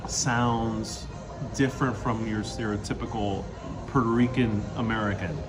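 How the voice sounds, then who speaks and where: Queens guy, spanish first language, rambling live chat w/ lots of ums, music loud behind him in a food hall. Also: medium pitch. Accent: Queens New York, with Spanish-speaking influence. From Queens, New York City. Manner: chatty, loose, many ums and uhs. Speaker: livestream host. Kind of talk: casual livestream chat, reading comments aloud.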